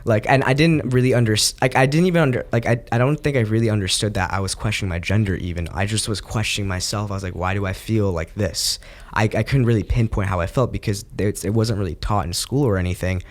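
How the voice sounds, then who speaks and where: A young man from the United States, a podcast guest, telling his story in an earnest, reflective and halting way. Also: fairly low pitch. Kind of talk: casual interview.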